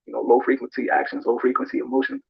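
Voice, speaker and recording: A man answering interview questions in a reflective, hesitant and measured way, on muffled played-back audio. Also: mid-range voice, monotone, vocal fry, husky, dry recording.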